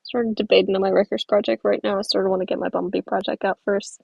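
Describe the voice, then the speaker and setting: Very gravelly-voiced young woman streamer. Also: medium pitch, little pitch movement, fast talker, a little mellow, dry recording, lo-fi sound. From the American South. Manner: chatty and rambling, many fillers, laughs easily. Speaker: stop-motion creator streaming. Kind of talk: casual livestream chat reading comments.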